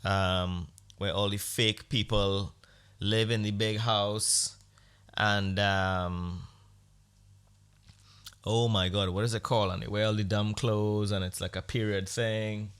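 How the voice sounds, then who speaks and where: Man, podcast host, bright forceful voice, blunt, sarcastic, profane rant. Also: lowish voice, level tone, takes it slowly, clean tone. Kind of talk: casual opinionated podcast monologue.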